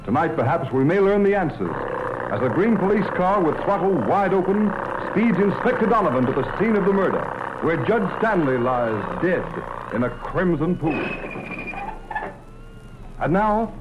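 Noisy vintage radio, man playing suspenseful serial announcer, melodramatic, portentous, higher-pitched male voice. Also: big pitch swings, normal speed. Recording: muffled, noisy 1930s radio recording.

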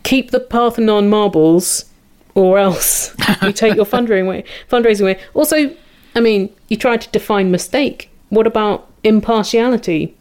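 A woman's voice: mid-range voice, moderate pace, a little mellow. A woman chatting satirically as a comedy panellist, with a wry, informal manner.

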